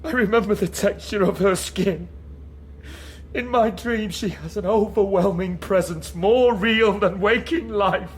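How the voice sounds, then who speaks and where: An actor playing a broken, submissive political prisoner in a radio drama, hesitant, meek and earnest. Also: male voice, sits high, a little slow. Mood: anguished, yearning. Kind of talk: naturalistic radio drama acting.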